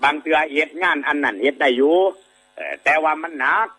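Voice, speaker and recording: A man's voice: on the high side, fast talker, bright, forceful tone. A man with an Isan Thai accent, a monk telling a moral fable to lay listeners in a rambling, folksy way, full of filler sounds and frequent checks that the audience agrees. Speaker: Buddhist monk giving a dharma talk.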